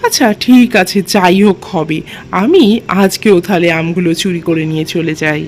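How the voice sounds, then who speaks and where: A woman's voice: lowish voice, animated, normal speed, slight background noise, pitched below the performer's own voice, lightly acted. A woman voicing a sly, greedy crow in a children's cartoon, scheming and pleased with himself.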